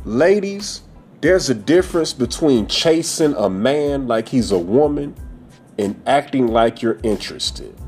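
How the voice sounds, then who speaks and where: A man's voice: a little high, darkish tone. A man in his forties hosting a podcast, giving a blunt, profane, preachy monologue about dating. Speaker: podcast host.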